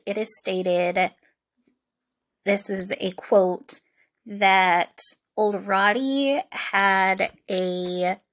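A woman hosting a true crime podcast, speaking in an informal, reflective way with halting, conversational phrasing. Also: medium pitch, a bit bright.

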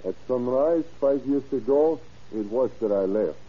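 A man's voice: medium pitch, lively intonation, measured pace. An actor playing a deferential, brooding ex-convict henchman in a 1930s radio crime drama. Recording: dull, muffled old radio recording.

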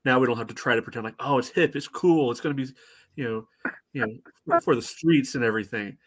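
A man's voice: mid-range voice, fast, husky. A man chatting casually as a sports fan on a podcast, wry and blunt, often saying "you know".

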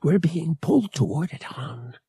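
A man voicing a frightened young woman aboard a starship in an audiobook, breathy and fearful. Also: fairly high pitch, expressive, normal speed, mellow, dry recording, studio quality, voice pushed up, exaggerated voice.

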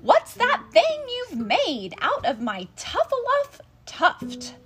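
A woman reading a storybook, voicing a small, indignant, bossy old creature with a sharp, loud, declamatory delivery. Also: extremely high pitch, wildly swooping pitch, normal speed, faint music behind, pitched above the performer's own voice, exaggerated voice. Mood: indignant, demanding.

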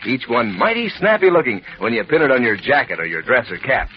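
A man's voice: medium pitch, wildly swooping pitch, a little fast. A man delivering a scripted radio commercial in a cheerful, salesy manner. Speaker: sponsor spokesman. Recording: dull old radio recording.

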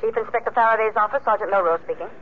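A tinny, faintly noisy old radio recording carries a man acting a police sergeant. He talks fast, in a businesslike and deferential radio drama manner. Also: high voice, lightly acted. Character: brisk, dutiful police sergeant.

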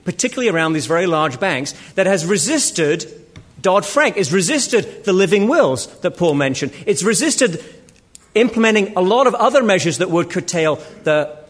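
A man arguing as a debate panelist, halting and restarting, then pressing his point emphatically. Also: high voice, big pitch swings. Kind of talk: debate argument.

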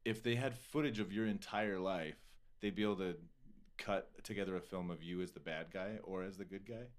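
Low-voiced american guy hosting a podcast, rambly tangents, lots of likes and swearing. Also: a little fast. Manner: rambling, digressive, peppered with filler words and swearing. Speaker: podcast host. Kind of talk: casual conversational interview.

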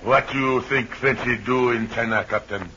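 Good-natured, blunt sidekick male actor, broken English, bright forceful voice, muffled old-time radio drama. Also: mid-range voice, fairly even intonation, moderate pace, a little hiss or hum, close to an everyday voice. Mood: earnest, puzzled. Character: loyal, plain-spoken islander sidekick, blunt and good-natured.

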